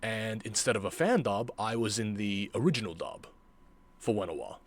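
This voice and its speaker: A man narrating a reflective vlog in a deliberate way, with dramatic pauses and emphatic repetition. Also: lowish voice, expressive. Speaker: video creator, former amateur voice actor.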